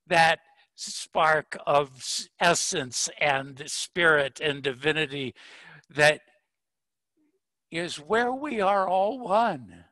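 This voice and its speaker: A man delivering a poetic spiritual invocation in a solemn, measured manner, pausing between short phrases. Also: on the high side, animated, bright, forceful tone, no room sound. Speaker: speaker giving a spiritual invocation.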